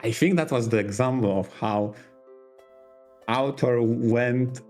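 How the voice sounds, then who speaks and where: A man's voice: mid-range voice, takes it slowly, darkish tone, faint music behind. A man speaking conversationally as a podcast co-host. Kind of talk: casual podcast discussion.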